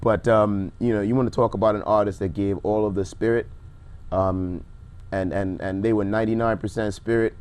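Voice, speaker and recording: A young man with a baritone voice, a rapper talking casually and reflectively as a guest, with many restarts. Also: steady tone, a little fast, darkish tone, clean tone. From Bermuda. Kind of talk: casual interview chat.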